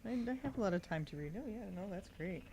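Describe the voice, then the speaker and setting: A woman's voice: mid-range voice, animated, quick pace, a little mellow, a little hiss or hum. A woman playing a tabletop game, chatting casually as she speaks for her character.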